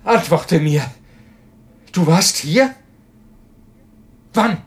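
An actor playing an agitated male scientist in a radio drama, halting and intense, repeating himself. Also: high voice, lively intonation, heavily acted. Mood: tense, disbelieving. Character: Peter, agitated male scientist shaken by a death threat. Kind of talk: German radio drama acting.

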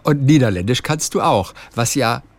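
A man's voice: mid-range voice, expressive, normal speed. A man interviewing a guest in a casual, friendly way, asking curious follow-up questions.

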